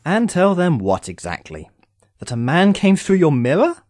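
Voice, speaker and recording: A man's voice: on the high side, huge pitch swings, normal speed, a little mellow, no room sound. A man playing an urbane immortal sorcerer in an audio drama, speaking formally with dry, sardonic impatience. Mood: smug, sardonic. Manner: wry, formal, theatrical phrasing.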